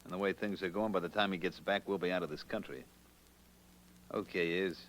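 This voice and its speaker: An actor playing a cold, menacing American gangster boss in a radio crime drama, talking tough, threatening slang. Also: male voice, low voice, fairly even intonation, a little fast, fairly bright tone. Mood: cold menace. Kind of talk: old-time radio crime drama acting. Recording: humming, noisy old radio recording.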